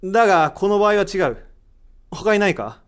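A man acting a higher-voiced, thoughtful male sleuth. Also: big pitch swings, clear, resonant tone. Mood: explaining, intent. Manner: deliberate, explanatory, rhetorical questioning. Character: thoughtful, analytical man reasoning out a mystery. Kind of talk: amateur voice drama acting.

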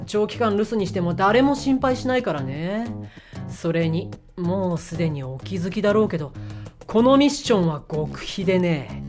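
A woman acting in an audio drama as a bossy, sharp-tongued mission leader, blunt and self-assured, with feminine phrasing. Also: mid-range voice, natural voice. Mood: bossy, brisk.

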